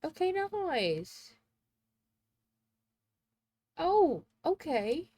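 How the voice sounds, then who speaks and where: A woman's voice: very high voice, big pitch swings, extremely slow, warm tone, no room sound. Congested chatty woman streamer. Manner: rambling, chatty, thinks aloud. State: congested, sneezing. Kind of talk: casual livestream chat.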